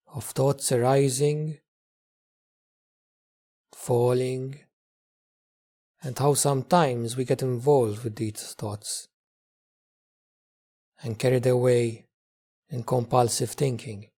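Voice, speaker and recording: A man leading a guided meditation as a teacher, speaking gently in short phrases with long pauses. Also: mid-range voice, steady tone. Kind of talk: guided meditation, slow spoken instruction.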